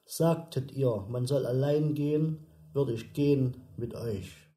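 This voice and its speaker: Actor as dark-voiced, defiant man reciting poetry. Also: mid-range voice, slow, echoey room, natural voice. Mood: quiet defiance. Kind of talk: slow poetry recitation in a radio sound composition.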